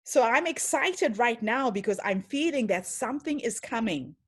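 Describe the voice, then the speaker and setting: A woman's voice: on the high side, expressive, normal speed, breathy edge. A woman giving an online lecture and answering questions in an enthusiastic, storytelling manner over a video call from her home. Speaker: speaker.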